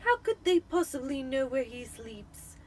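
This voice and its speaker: A woman's voice: sits high, big pitch swings, measured pace, pitched above the performer's own voice, full character voice. A woman voicing a nervous, fretful middle-aged aunt in a storybook reading, with a quivering voice. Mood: anxious.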